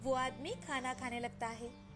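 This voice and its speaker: A woman narrates a scripted story for children. Her voice is high-pitched, and soft music plays behind her storytelling. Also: steady tone, measured pace.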